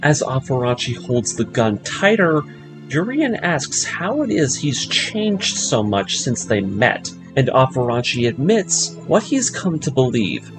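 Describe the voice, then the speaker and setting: A man's voice: mid-range voice, rough recording. A man delivering scripted narration, recapping a story in the manner of a video breakdown series. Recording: voice-over with music underneath.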